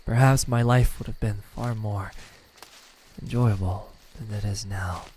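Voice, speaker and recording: A man playing a lonely immortal demon in an ASMR roleplay, haughty and halting, secretly tender, with a husky voice. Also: fairly low pitch, fairly even intonation, darkish tone, a little hiss or hum, natural voice. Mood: wistful. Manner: slow, halting, condescending speech with stammers and sighs. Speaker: voice actor.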